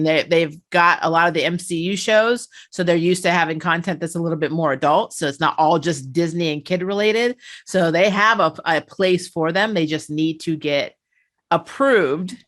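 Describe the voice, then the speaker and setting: A woman's voice: on the low side, moderate pace, fairly bright tone. A woman chatting casually and animatedly as she hosts a discussion reviewing a TV show.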